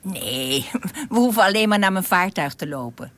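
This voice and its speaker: A woman's voice: medium pitch, expressive, moderate pace, fairly bright tone, close to an everyday voice. A woman playing a friendly, self-assured young alien spacewoman in a radio drama, polite and easily amused. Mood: calm, reassuring.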